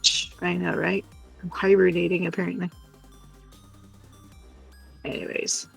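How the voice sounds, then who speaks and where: A woman's voice: lowish voice, little pitch movement, measured pace, creaky, soft background music. A woman chatting casually and rambling in a giggly way on a livestream while doing crafts. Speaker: live stream co-host.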